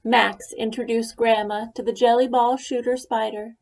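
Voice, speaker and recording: A woman reading a children's picture book aloud, telling the story slowly and gently. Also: a little high, vocal fry. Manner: slow, gentle storytelling with lively quoted lines. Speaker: reader.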